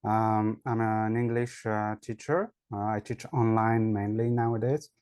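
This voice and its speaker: A man's voice: on the low side, fairly even intonation, unhurried, darkish tone, smooth, dry recording, studio quality. French man answering with many fillers. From France. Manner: hesitant, many fillers and restarts. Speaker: guest, online English teacher. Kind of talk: casual interview answers.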